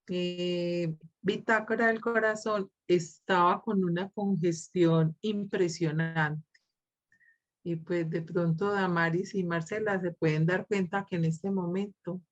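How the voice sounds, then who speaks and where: A woman's voice: fairly low pitch, lo-fi sound. A woman in a reading group casually sharing an anecdote, chatty and laughing easily. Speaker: reading club participant.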